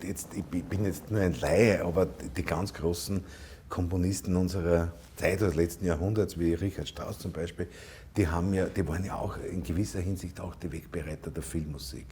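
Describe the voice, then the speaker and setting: A man with a very deep, mellow voice hosts an interview. He asks long questions with many fillers and keeps murmuring agreement while his guest talks. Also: fairly even intonation, a little fast, slight background noise. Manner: chatty, constantly murmurs agreement, hesitant with fillers. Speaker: interview host.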